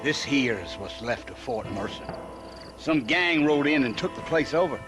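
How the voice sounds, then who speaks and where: An actor playing an easygoing western guide in a video game, with a folksy American drawl. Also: male voice, medium pitch, expressive, moderate pace, music in the background, close to an everyday voice. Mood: laid-back, wry.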